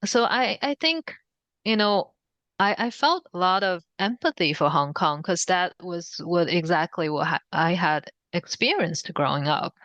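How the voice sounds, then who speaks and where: A woman from Shanghai, a native Shanghainese speaker with a Chinese accent, answering interview questions thoughtfully with many pauses and fillers. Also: lowish voice, lively intonation, a bit bright, no echo, muffled recording. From Shanghai, China. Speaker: author guest. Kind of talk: conversational interview.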